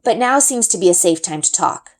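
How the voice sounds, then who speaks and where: A woman narrating a children's book as an expressive read-aloud. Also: medium pitch, fairly quick. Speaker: story reader.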